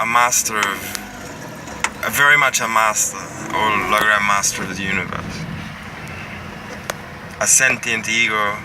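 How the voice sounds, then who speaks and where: A man tells a rambling, improvised tale as a vlogger. He speaks very slowly and haltingly, circling back over his phrases to restart them. His voice sits at a middling pitch, picked up at some distance from the mic under heavy ambient rumble. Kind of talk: improvised, rambling spoken storytelling.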